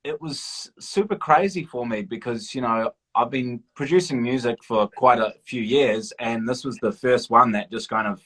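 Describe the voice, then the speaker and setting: A man's voice: medium pitch, normal speed, few pauses, a bit bright, husky. A man chatting casually as an interview guest, informal and modest, feeling his way through his answers. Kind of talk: casual chat.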